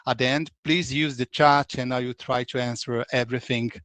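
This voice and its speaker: A man's voice: mid-range voice, fairly even intonation, moderate pace, a bit bright, no echo. A man in his forties from Brazil, speaking with a Brazilian accent as a consultant presenting a webinar in a conversational way, with frequent restarts.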